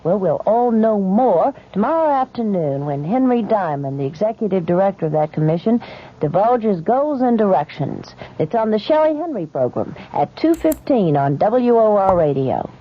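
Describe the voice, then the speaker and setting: A woman's voice: mid-range voice, big pitch swings, normal speed. A woman reading a scripted promotional announcement on the radio. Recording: muffled old radio recording.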